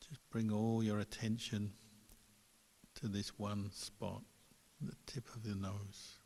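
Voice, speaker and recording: A man leads a guided meditation as a monk would, calmly and very slowly. His voice sits on the low side and leaves gentle gaps between phrases. It rings out with long reverb, as in a large hall. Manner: calm, unhurried, gentle pauses between phrases. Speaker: Buddhist monk, meditation teacher.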